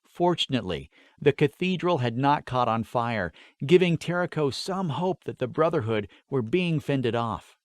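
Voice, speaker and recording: A man narrates an epic fantasy audiobook in an earnest, dramatic way. His mid-low voice is recorded very dry, with hardly any room around it. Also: lively intonation, moderate pace, few pauses, no echo. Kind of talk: scripted fantasy story narration.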